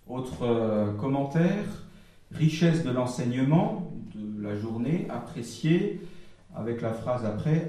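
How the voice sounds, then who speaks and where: Mellow-voiced man giving a halting summary to a church gathering, recorded far off in a very echoey hall. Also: mid-range voice. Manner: halting, with frequent pauses and restarts. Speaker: speaker summarizing group feedback at a church gathering. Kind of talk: public talk, reading out notes.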